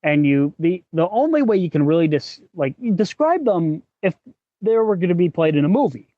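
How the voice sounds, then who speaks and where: A man hosting a podcast in casual, explanatory talk, often halting as he thinks aloud. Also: on the high side, big pitch swings, dry recording, narrow, tinny audio. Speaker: podcast host; working actor.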